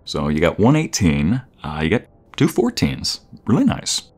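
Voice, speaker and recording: Low-voiced, playful boyfriend, acted by a man. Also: huge pitch swings, unhurried, husky, heavily acted. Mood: playful, eager. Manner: playful, teasing, chuckling, rambling. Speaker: audio roleplay narrator. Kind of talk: casual, intimate boyfriend roleplay.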